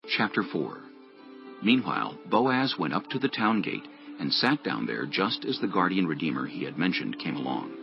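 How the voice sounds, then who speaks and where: Male narrator for audio Bible, very low with creaky fry, expressive intonation, music bed. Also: normal speed, dull audio.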